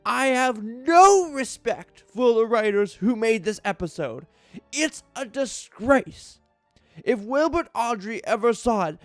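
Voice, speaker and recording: An aggrieved, earnest fan played by a man delivers an online cartoon review as mock high drama. The voice is high, halting and heavy on emphasis, recorded dry with faint music underneath. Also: no echo, heavily acted. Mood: indignant.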